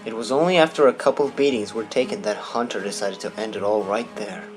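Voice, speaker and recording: A man's voice: fairly low pitch, fast talker, loud music behind the voice, lightly acted, rough recording. A man playing an earnest eyewitness on the stand in an amateur audio drama. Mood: matter-of-fact. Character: earnest male eyewitness giving testimony.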